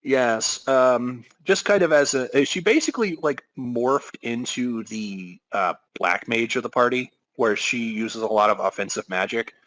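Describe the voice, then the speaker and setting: A man's voice: medium pitch, unhurried, few pauses, fairly bright tone, low-quality recording. A man hosting a podcast, giving a dramatic reading with casual, wry asides. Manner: wry, self-interrupting commentary. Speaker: podcast host.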